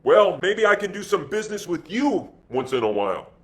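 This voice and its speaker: A man's voice: high-pitched, very animated, moderate pace, pitched above the performer's own voice. A man voicing a tough American pilot in an adventure story, wary and plain-spoken, with breezy slang.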